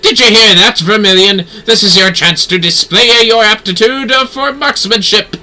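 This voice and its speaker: A man voicing a flamboyant, grandiose aristocrat in a video game, with florid, drawn-out exclamations. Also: sits high, pushed and bright, pitched above the performer's own voice. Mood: gushing, persuasive.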